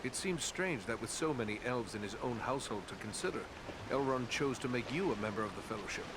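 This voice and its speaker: An actor playing an earnest human ranger in a fantasy game, speaking formally and steadily with polite courtesy. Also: male voice, medium pitch, animated, brisk, close to an everyday voice. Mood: polite curiosity. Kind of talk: fantasy video game character acting. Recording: clear background ambient noise.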